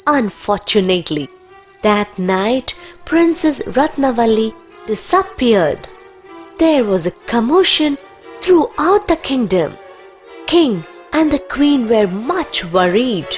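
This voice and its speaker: A woman narrating a scripted children's story as the storyteller of an animated video. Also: a little high, slow pace, soft background music.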